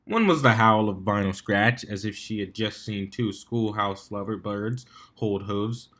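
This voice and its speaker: A man's voice: lowish voice, unhurried, a bit bright. A man narrating a scripted fan fiction story as its reader.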